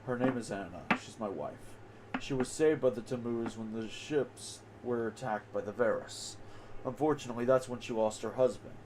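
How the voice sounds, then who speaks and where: A man's voice: medium pitch, moderate pace, darkish tone, a little hiss or hum, pitched below the performer's own voice, lightly acted, poor audio. A man voicing a reserved, earnest, loyal seaman while reading video game dialogue aloud in character. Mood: subdued, defensive.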